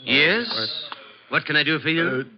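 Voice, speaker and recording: An actor playing a polite but firm private club attendant in a 1950s radio drama, formal and deferential. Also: male voice, fairly high pitch, big pitch swings, a little slow, noisy background. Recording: dull vintage radio recording.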